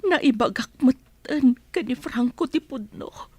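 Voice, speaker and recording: High-voiced, slow, pleading mother acted by a woman. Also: lively intonation, dark tone, soft background music, heavily acted. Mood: troubled, reflective. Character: anguished, pleading mother who serves as a household helper. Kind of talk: Ilocano radio drama acting.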